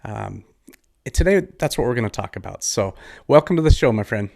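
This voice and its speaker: An American man hosting a podcast interview, chatting in an informal, friendly and somewhat halting way. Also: on the low side, lively intonation, gravelly, darkish tone. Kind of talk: casual interview chat.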